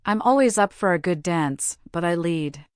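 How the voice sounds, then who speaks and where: A woman's voice: lowish voice, lively intonation, normal speed, smooth, dry recording, clean studio sound, natural voice. A woman playing a cunning, fierce pirate quartermaster in an audio drama, confident and teasing. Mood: cocky, teasing.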